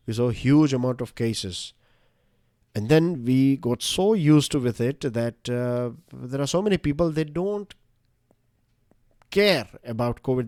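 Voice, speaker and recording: A man's voice: mid-range voice, a little mellow, no room sound, studio quality. An Indian man with a Gujarati-influenced accent, a Gujarati native speaker, giving a casual current-affairs lecture with lots of fillers and rhetorical questions. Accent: Gujarati-influenced Indian English. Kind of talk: conversational exam-prep lecture.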